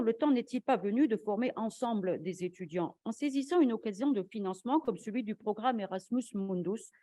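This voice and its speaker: A woman academic giving a formal conference lecture in a measured, argumentative way, as an invited speaker. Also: mid-range voice, warm tone, dry recording.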